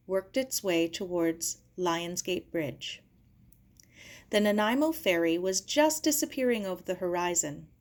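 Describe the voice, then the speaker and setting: A woman reading a children's novel aloud as scripted narration. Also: mid-range voice. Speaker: audiobook reader.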